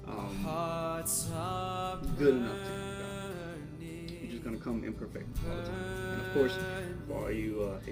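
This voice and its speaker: A man in his late twenties from Bangladesh, bluntly telling his personal faith testimony in a casual, rambling way. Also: medium pitch. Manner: blunt, candid, rambling with fillers. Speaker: testimony speaker. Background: guitar music.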